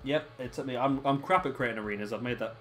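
A hoarse man, hosting and casually commentating a wrestling video game. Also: mid-range voice, quick pace, a bit bright. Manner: chatty, laughing, swears casually. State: hoarse, lost his voice. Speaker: host of a gaming channel.

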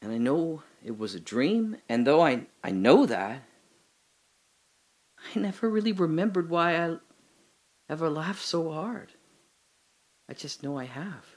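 An actor playing a confused amnesiac man trapped alone in a hole, rambling and swinging between giddy laughter and hushed muttering. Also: a little high, sing-song, unhurried, pauses often. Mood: wistful. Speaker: audio drama lead actor. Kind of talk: solo audio drama monologue.

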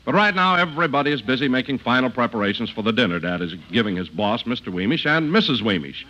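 A man announcing on 1950s radio in a polished, scripted sales-pitch style. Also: very animated, quick pace, pushed and bright, highs cut off.

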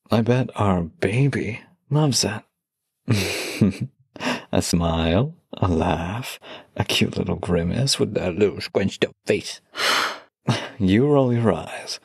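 A man in a close-miked audio roleplay, speaking softly and affectionately with a teasing edge, as a voice actor addressing a loved one. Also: on the low side, gravelly, breathy edge, no room sound.

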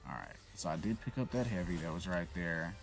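A man with a very low, gravelly voice narrates his run in a casual, offhand way. His pitch swings widely as he mutters to himself and then snaps and swears at the enemies. Loud game music plays under his voice. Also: lively intonation, fairly quick. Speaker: gaming channel host. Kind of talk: casual gameplay commentary.

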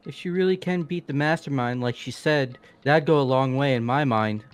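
A man voicing an awkward, timid young man in a video game, halting and hesitant. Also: mid-range voice, close to an everyday voice. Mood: uneasy. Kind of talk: amateur video game voice-over. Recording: background music throughout.

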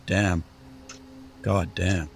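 A man's voice: low-pitched, flat delivery, drawn-out words, creaky, a little hiss or hum, heavily acted. A man playing a depressed, sceptical young man in an audio drama, speaking in a dry, laconic way. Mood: uneasy, awed. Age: young adult.

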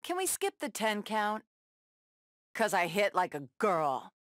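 An actress playing a tough, sardonic superheroine brawler in a video game, tossing out sassy, taunting one-liners. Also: female voice, mid-range voice, sing-song, slow, a bit bright, no echo, studio quality. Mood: cocky, taunting. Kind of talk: video game fight banter.

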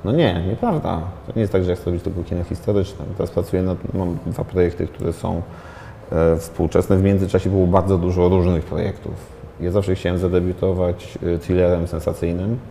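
A man in his thirties speaks in a very low, dark and mellow voice, weighing each thought with pauses, fillers and restarts. He is a film director answering questions on a studio set in a glass-walled hall. Faint background hiss sits under his lapel-mic sound. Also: steady tone, gravelly. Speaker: film director, interview guest. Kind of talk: reflective interview talk.

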